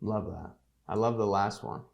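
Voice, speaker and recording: A man's voice: lowish voice, normal speed, vocal fry, a little mellow. A man in his twenties, a guest giving blunt, candid business advice in a casual podcast chat. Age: 20s. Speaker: podcast guest, agency CEO.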